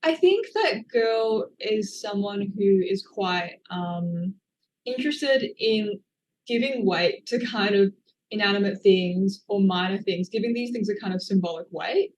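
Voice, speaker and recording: A woman's voice: mid-range voice, lively intonation, unhurried, a little mellow, rough recording. A woman, an author, answering interview questions in a thoughtful, hedging way with many fillers. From Australia. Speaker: author guest.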